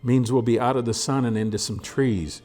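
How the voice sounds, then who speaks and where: A man voicing a gruff, plain-spoken frontier scout with an in a storybook reading. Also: medium pitch, fairly even intonation, moderate pace, darkish tone, faint music behind, voice pushed up, lightly acted. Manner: folksy, gritty, plain-spoken.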